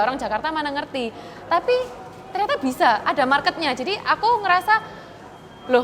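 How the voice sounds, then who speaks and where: High-voiced young woman, Surabaya accent. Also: a bit bright. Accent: Surabaya Javanese. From Surabaya, East Java, Indonesia. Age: 20s. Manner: chatty storyteller, laughs easily. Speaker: guest, TikTok creator. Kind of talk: casual talk show chat.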